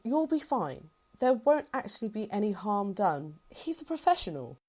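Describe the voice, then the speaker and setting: A woman's voice: medium pitch, very animated, moderate pace, a little mellow. A woman playing a composed, reassuring and formal rebel general in a fan-made sci-fi roleplay.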